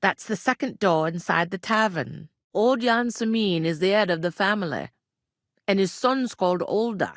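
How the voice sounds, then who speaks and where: An actress playing a plain-spoken, bossy, world-weary village innkeeper in a medieval video game, with a rustic working-class English regional accent and a blunt, wry manner. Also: female voice, medium pitch, moderate pace, no room sound, clean studio sound, natural voice. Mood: bossy, brisk. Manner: blunt, earthy, colloquial, wry. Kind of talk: video game character dialogue.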